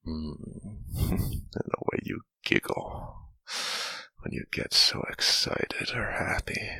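A man playing an adoring, sensual lover in a whispered intimate roleplay, breathy and murmuring tenderly close to the mic. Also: deep voice, sharp tone, dry recording, full character voice, rough recording. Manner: slow, tender murmuring with lingering hums. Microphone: close mic, dry.

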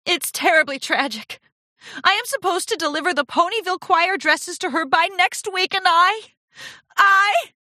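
A woman's voice: very high-pitched, slow, hardly any pauses, sharp tone, dry recording, studio quality, voice pushed up, full character voice. A woman voicing a vain, theatrical fashionista pony for a children's storybook, with refined, affected and easily wounded airs. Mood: anguished.

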